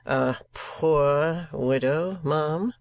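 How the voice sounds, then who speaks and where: A woman voicing a blunt, stern gentleman in a storybook reading, speaking in a clipped, deliberate way. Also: a bass voice, gravelly, dry recording, full character voice.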